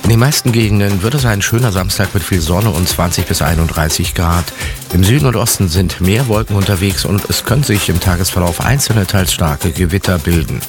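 A man reading a radio news bulletin and weather forecast in an even, formal manner. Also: low voice, a little fast, music in the background. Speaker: news anchor. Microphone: studio microphone.

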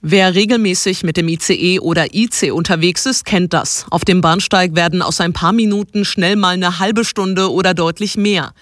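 A radio news reporter delivering a scripted report in a clear, steady manner. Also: male voice, sits high, normal speed, hardly any pauses, dry recording, studio quality.

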